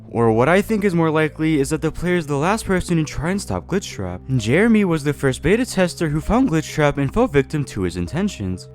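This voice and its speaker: A man's voice: a little high, a little mellow. A man hosting a video, reading a scripted video essay as narration. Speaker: video host. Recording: music under the voice. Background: background music.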